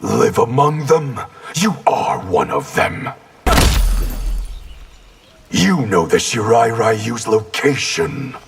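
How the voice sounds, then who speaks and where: A stern, hostile ninja clan master from a fighting game. He speaks slowly with heavy creak and proud coldness, his pitch moving widely. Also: big pitch swings, over music, full character voice. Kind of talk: video game voice acting.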